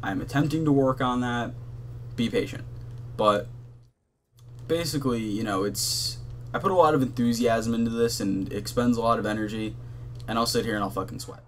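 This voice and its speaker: A young man with a monotone voice, rambling casually with lots of fillers and swearing as the host of a gaming channel, talking from his bedroom. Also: medium pitch, moderate pace, pauses often, a little hiss or hum. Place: hot bedroom at his console.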